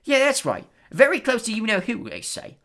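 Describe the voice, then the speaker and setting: A reader voicing Stan, a chatty young male bus conductor who gossips loudly in working-class London speech. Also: mid-range voice, wildly swooping pitch, normal speed, voice pushed up. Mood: excited gossip.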